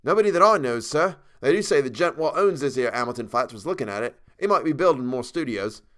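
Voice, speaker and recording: A man's voice: medium pitch, expressive, fast, fairly bright tone, voice pushed up, natural voice. A man voicing a young Cockney English servant and ex-soldier, indignant yet deferential, with uneducated, emphatic speech, in a story reading. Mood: matter-of-fact.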